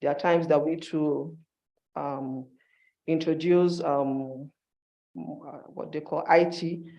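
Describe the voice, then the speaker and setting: A man's voice: on the high side, fairly even intonation, slow pace, gravelly, warm tone, muffled recording. A man giving an instructive conference presentation in short, emphatic phrases, as a university staff member.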